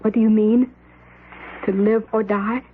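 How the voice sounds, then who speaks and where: An actress playing a miser's young, insecure, aggrieved daughter in a radio drama, speaking in dramatic, halting phrases. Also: female voice, medium pitch, level tone, a little hiss or hum, natural voice. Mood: bewildered. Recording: narrow-band old radio, faint music.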